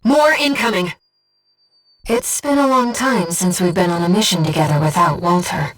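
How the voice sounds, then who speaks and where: A woman playing a calm, devoted disembodied companion who guides a pilot in a video game, speaking in a measured, earnest, gently formal way. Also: fairly low pitch, far from the mic, rough recording. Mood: urgent alert. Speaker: mission support companion. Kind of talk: scripted video game voice acting.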